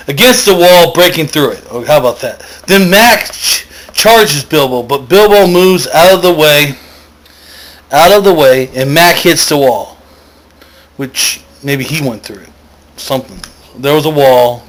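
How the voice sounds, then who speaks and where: A man's voice: mid-range voice, measured pace, long pauses, poor audio. A man telling a comic story as host, rambling, correcting himself and wandering off on digressions. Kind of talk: comic, loosely improvised story narration.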